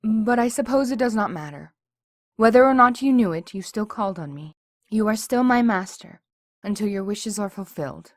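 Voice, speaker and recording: A woman's voice: medium pitch, lively intonation, moderate pace, no room sound, studio quality, lightly acted. A woman voicing a humble female djinni spirit, a servile mare who speaks formally and imploringly. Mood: earnest. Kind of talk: fan audiobook voice acting.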